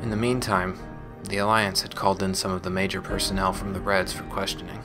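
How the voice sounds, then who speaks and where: A man voicing a young former gang operative, telling painful memories in a measured, scripted audio log. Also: deep voice, level tone. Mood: regretful, reflective. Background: music.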